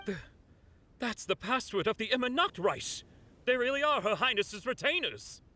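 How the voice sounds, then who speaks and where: An excitable, suspicious guard from an anime-style game. His voice is high and forceful, jumping about in pitch. Faint noise sits behind it. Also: normal speed, heavily acted. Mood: astonished.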